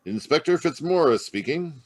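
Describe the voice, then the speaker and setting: A man's voice: on the high side, lively intonation, moderate pace, husky, dry recording. A man playing a firm, shrewd police inspector in old-time radio mystery style. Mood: brisk. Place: live video call at home. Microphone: video call.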